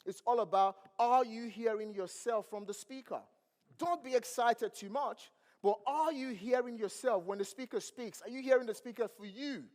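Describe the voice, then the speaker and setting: Nigerian guy hyping up a crowd, kinda high voice, lots of questions, big echoey hall. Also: normal speed, darkish tone. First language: not English. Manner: preachy, asks the crowd questions. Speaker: motivational speaker. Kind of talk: motivational stage speech. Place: large event hall. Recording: long hall reverb.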